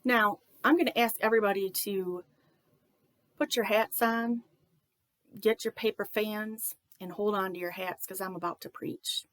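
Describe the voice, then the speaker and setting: Wry, slow-talking woman with dry sound. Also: mid-range voice, dry recording. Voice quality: slightly nasal. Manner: joking, wry, many "um" pauses. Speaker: video creator. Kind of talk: self-deprecating talk to camera.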